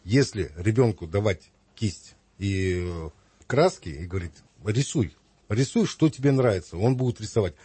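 Middle-aged male guest, earnest and reflective, dark husky voice. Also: fairly low pitch, lively intonation, normal speed, dry recording. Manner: earnest, reflective, many hesitations. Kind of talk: earnest conversational opinion.

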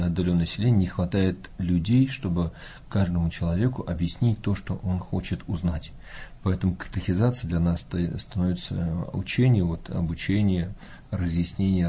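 A male Orthodox priest speaking in an unhurried, folksy way, with many fillers and hesitations. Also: sits low, mellow, slight background noise. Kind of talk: calm talk show conversation.

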